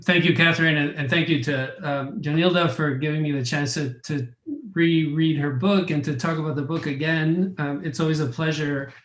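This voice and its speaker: A man's voice: a little high, steady tone, normal speed, a bit bright. Reflective man in an echoey room. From Argentina. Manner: thoughtful, frequent restarts and repeated words. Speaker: panel commentator, professor. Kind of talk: academic panel discussion.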